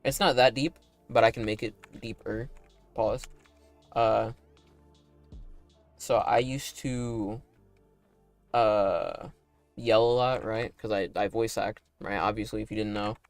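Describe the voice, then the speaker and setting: Laid-back young man, slightly raspy voice. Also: on the low side, steady tone, slow pace, long pauses, soft background music. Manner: laid-back, rambling, full of likes and tag questions; talking in a put-on broadcast voice. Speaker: animator and streamer. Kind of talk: casual livestream chat while playing a game.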